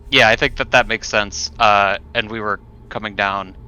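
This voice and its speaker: A man's voice: on the low side, fairly even intonation, moderate pace, sharp tone, clean tone. A man playing a tabletop roleplaying game, talking casually in a tentative, hedging way. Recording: dull, faintly noisy room recording.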